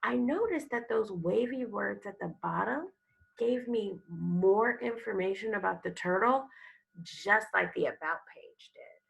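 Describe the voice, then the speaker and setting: A woman teacher leading a read-aloud lesson for young children, explaining and prompting as she goes, with a gravelly, creaky voice. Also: medium pitch, lively intonation, measured pace, rough recording. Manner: instructional, addresses children with prompting questions. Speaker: elementary school teacher.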